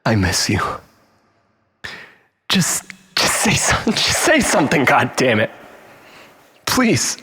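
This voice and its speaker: Guy playing a slow husky desperate ex, stammering, pleading then bitter, self pitying drama monologue. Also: mid-range voice, huge pitch swings, bright, forceful tone, big-room sound, full character voice. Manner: halting, stammering, repeating himself, swinging from pleading to bitter. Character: desperate, manipulative, self-pitying man pleading with his lost love.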